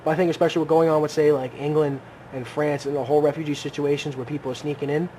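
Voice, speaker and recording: A man's voice: a little high, flat delivery, very fast, warm tone, a little hiss or hum, low-quality recording. A man co-hosting a podcast, chatting casually about politics and often stopping to restart his sentences. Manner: rambling, many restarts and hedges. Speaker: podcast co-host.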